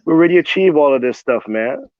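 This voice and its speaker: A man's voice: a little high, animated, fast talker, no room sound. A man speaking on a panel, arguing emphatically, repeating words and saying bro often. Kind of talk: heated panel debate. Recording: tinny phone-like line.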